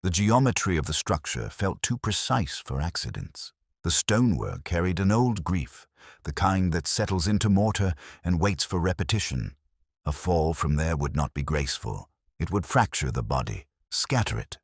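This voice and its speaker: Male narrator, bottom-register voice, close dry sound, scripted detective fiction, measured, formal, brooding storytelling. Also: deep voice, sing-song, breathy edge, no room sound.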